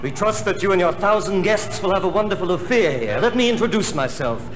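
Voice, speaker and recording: An actor playing a self-important safari guide in a 1960s comedy album sketch, formal and ceremonious, as if announcing. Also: male voice, sits high, expressive, brisk, faint music behind. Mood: proud, welcoming. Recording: noisy, echoey 1960s comedy record.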